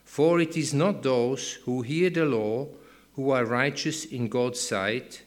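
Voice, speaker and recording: A man with a Swiss German accent, reading a Bible passage aloud in a church service in a measured, careful way. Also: mid-range voice. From Switzerland. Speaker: Bible reader. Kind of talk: slow scripture reading in church.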